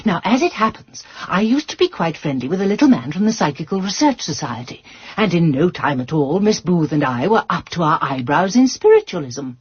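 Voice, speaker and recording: An actress playing a prim, resourceful English spinster sleuth in a radio drama, speaking in a fussy, genteel and precise manner. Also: female voice, fairly low pitch, big pitch swings, a little fast, breathy edge, lo-fi sound, full character voice. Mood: confiding, pleased. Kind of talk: British radio drama acting.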